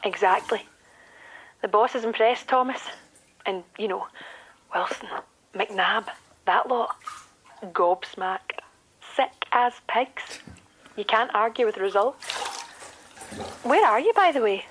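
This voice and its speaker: An actress playing a brisk, friendly detective constable in a radio drama, with a clipped, informal way of talking. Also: female voice, on the high side, lively intonation, fairly bright tone, a little hiss or hum, poor audio.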